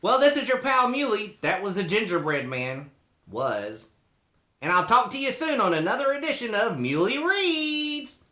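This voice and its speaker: A children's show host reading a storybook aloud in a playful, theatrical, expressive way. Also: medium pitch, normal speed.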